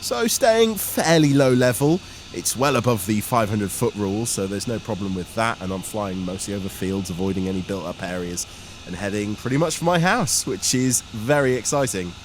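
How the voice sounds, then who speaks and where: A man's voice: lowish voice, animated, normal speed, faint music behind. A man giving an informal, enthusiastic flying commentary as a student pilot vlogger. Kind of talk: vlog commentary on a flight. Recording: engine and aircraft noise behind the voice. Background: aircraft engine noise.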